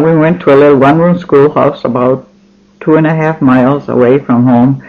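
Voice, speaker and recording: An older man whose first language is Finnish, recalling his childhood slowly in an oral history interview, with many pauses and restarts. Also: medium pitch. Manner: slow, halting, many ums and restarts. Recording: dull lo-fi recording.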